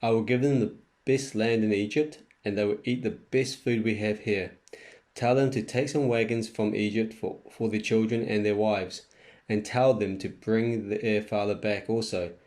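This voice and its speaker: A man's voice: on the low side, fairly even intonation, moderate pace, a little mellow, close to an everyday voice. A man playing a generous, commanding king who gives orders in an amateur scripture reading.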